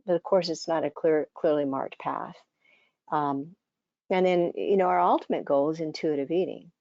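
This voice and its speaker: An older woman, around seventy, giving a conversational conference presentation as a dietitian, her voice scratchy and hoarse, with many fillers and restarts. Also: low-pitched, normal speed, mellow, no echo, highs cut off.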